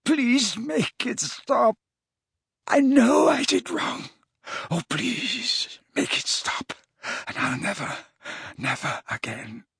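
Elderly wizard, high breathy wail, tormented, weakened, pleading, sobbing, audiobook fantasy figure. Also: male voice, big pitch swings, a bit bright, dry recording, clean studio sound, heavily acted. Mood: sobbing, remorseful. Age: older. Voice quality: breathy, wavering, high moaning voice. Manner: sobbing, pleading, drawn-out wails.

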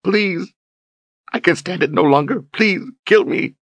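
A man's voice: sits high, normal speed, sharp tone, no room sound, pitched above the performer's own voice. A man voicing an anguished young female warrior who screams, sobs and pleads. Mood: pleading.

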